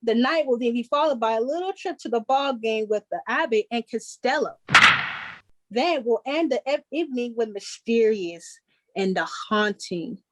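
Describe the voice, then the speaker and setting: A woman's voice: on the high side, normal speed, lightly acted, low-quality recording. A woman playing a chipper 1940s radio show host, giving scripted announcements in a showy, presentational way. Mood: showy, upbeat.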